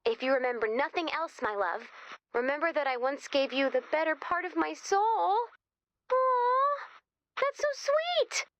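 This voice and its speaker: A woman playing an eager, soft-hearted young guide in a video game, chatty and expressive in a conversational way. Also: high voice, unhurried, no room sound, dull audio. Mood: touched, tender.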